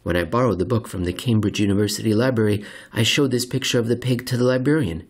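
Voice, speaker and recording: A man reading a scripted essay aloud as narration, measured and deliberate, with theatrical emphasis. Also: lowish voice. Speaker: podcast host reading aloud.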